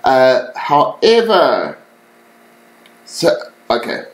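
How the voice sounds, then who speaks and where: A man giving a recorded slide lecture to students, relaxed and conversational, with frequent ums and self-corrections. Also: mid-range voice, very slow, low-quality recording.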